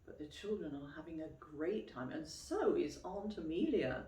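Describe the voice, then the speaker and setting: A woman reads a children's story in a lowish voice that swings widely in pitch. She takes her time, phrasing clearly and putting questions to young listeners. The recording is roomy and echoey. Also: lively intonation, normal speed, mellow. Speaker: teacher reading a picture book aloud to children. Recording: roomy, echoey, distant microphone.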